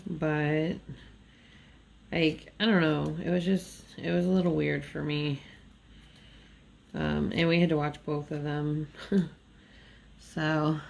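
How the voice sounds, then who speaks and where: A woman's voice: sits low, gravelly, a little hiss or hum. A woman just woken up, chatting in a rambling, nasal voice with fillers and small laughs while she works on a craft at a table indoors. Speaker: diamond painting vlogger.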